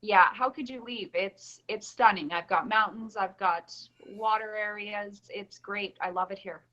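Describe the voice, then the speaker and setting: A woman's voice: medium pitch, fairly even intonation, measured pace, bright, forceful tone. A woman from Calgary with a mild Albertan Canadian accent, chatting earnestly as an interview guest with frequent ums. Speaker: guest, nurse and pageant winner. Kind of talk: casual interview answers. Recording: dull lo-fi call audio.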